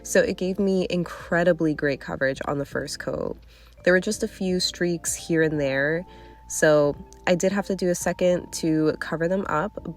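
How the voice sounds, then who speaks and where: A young woman with a nasally congested voice, casually reviewing nail polish in a chatty, candid way. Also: sits low. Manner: chatty, candid, hedging with fillers. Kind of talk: casual product review vlog.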